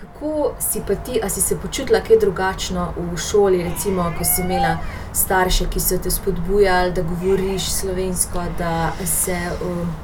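A woman's voice: on the low side, fairly even intonation, normal speed, hardly any pauses. A woman interviewer asking long, winding questions with frequent "eh" fillers. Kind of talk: radio interview. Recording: distant mic with background noise.

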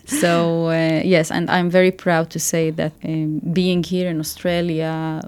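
A woman in her thirties with a slightly low voice, answering questions on a radio program. Her first language is Arabic. She speaks in a measured way, pausing often and restarting her sentences. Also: level tone, a little mellow, clean tone. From Israel. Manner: measured, hesitant, many fillers and restarts. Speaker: embassy spokesperson, interview guest.

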